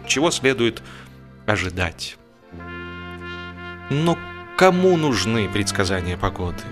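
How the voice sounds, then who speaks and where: A man reading a humorous novel as an expressive audiobook narrator, with wry, theatrical phrasing. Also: medium pitch.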